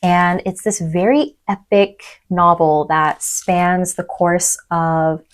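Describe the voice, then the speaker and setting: Soft-spoken woman lecturer, thoughtful. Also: lowish voice, slow. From East Africa. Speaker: university lecturer. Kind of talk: podcast interview.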